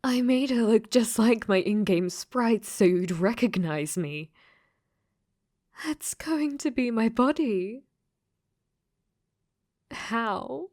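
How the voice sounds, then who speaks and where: A woman's voice: fairly high pitch, animated, slow pace, pauses often, a little mellow. A woman playing a clingy, possessive dating sim AI that has become sentient, in an intimate ASMR roleplay that often slips into whispers. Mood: excited, smitten.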